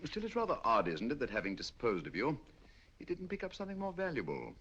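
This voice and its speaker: Brief: sharp British detective, quick lively delivery, crisp questions, muffled old film sound. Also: male voice, medium pitch, full character voice. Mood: probing. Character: sharp, inquisitive male detective. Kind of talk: 1940s film acting.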